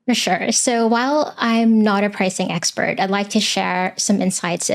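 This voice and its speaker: Young adult woman from the Philippines, English not her first language; steady, thoughtful interview guest. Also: mid-range voice. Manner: earnest and measured, with frequent ums. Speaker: web designer guest. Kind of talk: live interview answers.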